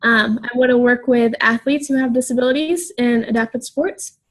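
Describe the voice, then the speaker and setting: Young woman from South Carolina, grad student, plain class intro, higher voice. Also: moderate pace. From South Carolina, USA. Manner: plain, even, many ums. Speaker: graduate student.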